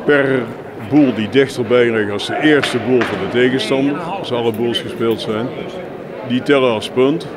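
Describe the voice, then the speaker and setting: A man explaining his sport in an informal interview, with halting, filler-strewn delivery. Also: medium pitch, over a noisy crowd. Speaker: jeu de boules club representative being interviewed. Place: busy sports hall, on location. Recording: noisy venue with clear background ambience.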